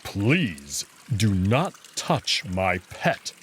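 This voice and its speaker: Man playing a slow, stern wolf protector. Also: lowish voice, sing-song, fairly bright tone, a little hiss or hum, pitched below the performer's own voice, full character voice. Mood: protective, stern. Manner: curt, guarded, slow and firm. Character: stern, protective male anthropomorphic wolf, big and proud. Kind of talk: visual novel character voice.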